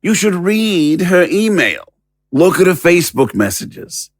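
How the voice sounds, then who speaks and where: A man's voice: high-pitched, sing-song, a little slow, dry recording, studio quality, exaggerated voice. A man voicing a foul-mouthed, sneering talking dog in an audio drama, blunt and taunting. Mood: sly, needling.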